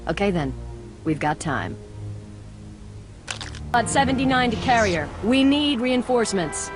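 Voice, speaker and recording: An actress playing a tough, cocky mercenary in a space opera game, with a sardonic, casual delivery. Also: female voice, on the high side, sing-song, unhurried, music in the background. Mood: urgent, angry. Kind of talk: video game cutscene acting.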